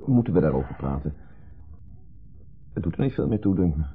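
An actor playing an insecure, reticent husband in a radio play, speaking tersely and reluctantly. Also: male voice, extremely low pitch, expressive, brisk, breathy edge, heavily acted. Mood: uneasy. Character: insecure, reticent husband wary of his wife's ex. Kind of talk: 1970s Dutch radio play acting. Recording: muffled, phone-like 1970s radio recording.

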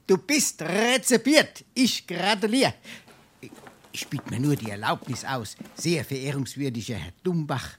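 A man's voice: fairly high pitch, big pitch swings, measured pace, a bit bright, breathy edge, heavily acted. A man playing a wily, shameless scrounger in a Darmstadt dialect stage comedy, pompous and full of flowery courtesy. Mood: unctuous flattery. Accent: Darmstadt Hessian. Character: wily, shameless male scrounger and bon vivant.

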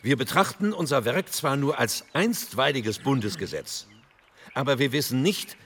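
An actor playing an earnest parliamentary delegate of 1948, making a deliberate, formal speech. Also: male voice, mid-range voice, lively intonation, fairly bright tone, a little hiss or hum. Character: earnest social democrat delegate proposing constitutional amendments, 1948. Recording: long hall reverb.

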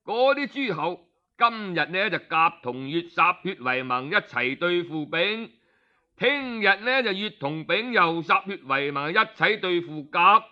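Unhurried Cantonese male storyteller, slightly high voice. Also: expressive, bright, forceful tone, lo-fi sound. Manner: explains with asides in colloquial speech. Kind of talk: traditional Cantonese storytelling, colloquial audiobook narration.

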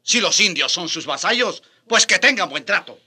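An aging Spanish friar in a radio drama, full of conviction. His voice sits a little high, husky yet very forceful. He stresses his words with passion. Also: expressive, moderate pace, exaggerated voice. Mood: indignant pleading. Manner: impassioned, emphatic.

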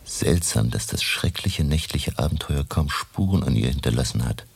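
An actor playing a courteous, earnest older professor in a radio play, speaking in a deliberate, courtly way. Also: male voice, a bass voice, animated, gravelly, breathy edge, exaggerated voice. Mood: measured, absorbed. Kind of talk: German radio play acting.

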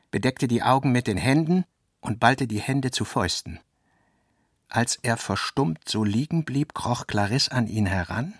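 A man's voice: medium pitch, dry recording. Narrator for literary radio play: male, mid-range voice, scripted prose with quoted speech, dry studio sound.

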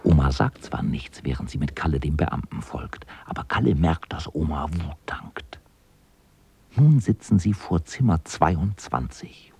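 A man's voice: very deep voice, lively intonation, unhurried, vocal fry, darkish tone, a little hiss or hum, heavily acted. A man narrating a scripted children's radio play as an observant storyteller.

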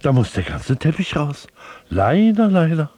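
A man's voice: on the high side, sing-song, measured pace, warm tone, breathy edge, no room sound, full character voice. An actor playing a brash, greedy landlord in a radio drama, glib and sarcastic like a salesman. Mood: glib, mocking.

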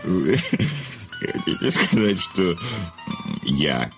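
An actor playing a famous crime writer, worldly, ironic and wary, with a gravelly husky voice and halting, drawn-out replies, in an audio play. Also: male voice, deep voice, very animated, faint music behind, full character voice. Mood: taken aback.

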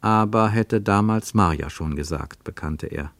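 A male narrator reads a literary travel story in a measured, storytelling way. His voice sits fairly low and sounds dry and close to the mic. It suits a vintage studio radio play. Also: no echo. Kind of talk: scripted narration in a radio drama.

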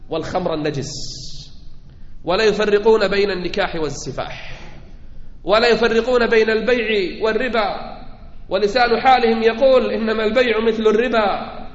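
A man preaches a fervent sermon in a high-pitched voice. His delivery is declamatory and rhetorical. The recording is slightly dull, with strong echo and a long reverberant tail from a large hall. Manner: fervent oratory, swinging from declamatory calls to quiet asides. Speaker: preacher giving a Friday sermon. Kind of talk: impassioned Islamic sermon, rhetorical questions.

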